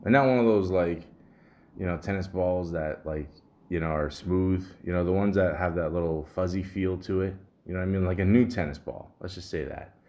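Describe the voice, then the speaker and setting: A man with a deep, somewhat muffled voice and a sore throat, rambling casually and grumbling with some swearing as a solo podcast host. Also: a little fast, a little mellow. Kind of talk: casual solo podcast rambling, reading symptom lists aloud.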